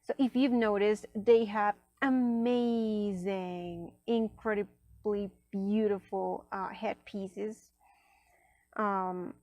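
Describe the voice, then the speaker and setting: A woman whose first language is Spanish, casually reviewing an oracle deck at a table, chatty, with many fillers and soft near-whispered asides. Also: mid-range voice, steady tone, very slow, dry recording. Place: indoors at a table.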